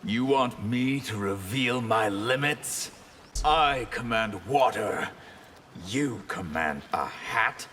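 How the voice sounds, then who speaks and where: A man's voice: on the low side, lively intonation, slow pace, pushed and bright, full character voice. A man playing a grave, imperious mage who talks formally, in video game fight banter. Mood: haughty. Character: haughty disgraced male water mage, grave and commanding. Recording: reverberant hall with faint background noise.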